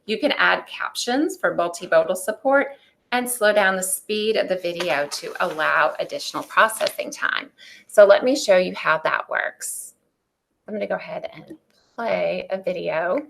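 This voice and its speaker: A woman's voice: on the low side, moderate pace, gravelly, a bit bright, slight background noise, rough recording. A middle-aged woman teacher giving an upbeat, step-by-step webinar presentation on a computer mic. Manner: upbeat, explanatory, walks through steps.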